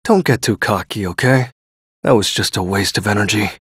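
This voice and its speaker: A man voicing a calm, level-headed male android partner who speaks in a composed, cautioning way. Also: on the low side, moderate pace, slightly rough, dry recording, studio quality. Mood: calm, scolding.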